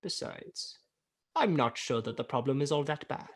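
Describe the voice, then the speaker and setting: A man's voice: medium pitch, animated, moderate pace. A man acting in a radio play as a miserly, cold-hearted old businessman, curt, sarcastic and disdainful.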